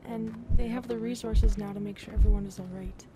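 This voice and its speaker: A woman's voice: medium pitch, steady tone, brisk, noisy background, natural voice. Hesitant, candid alien woman played by a woman. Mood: candid, confiding. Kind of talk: scripted audio roleplay drama.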